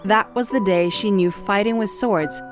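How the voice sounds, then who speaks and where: A woman's voice: medium pitch, normal speed. A woman reading a scripted story as the narrator of an audio drama. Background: music.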